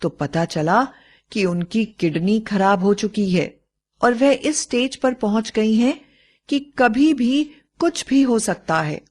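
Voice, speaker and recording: Slow-paced woman storyteller. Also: fairly high pitch, animated, a little mellow. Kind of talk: scripted narration of a moral bedtime story.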